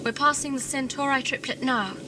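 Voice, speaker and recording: A woman playing a brisk, no-nonsense starship pilot in a science fiction audio drama. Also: sits high, natural voice. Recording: noisy recording, engine-like hum.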